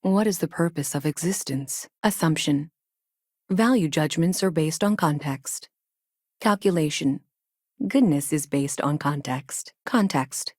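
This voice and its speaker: A woman playing a self-aware female ship AI in a video game, speaking in clipped, literal, measured statements with a detached, earnest logic. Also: low-pitched, a little mellow, no echo, studio quality. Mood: measured, analytical. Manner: clipped, announces each statement with a one-word label, literal. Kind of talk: video game character dialogue.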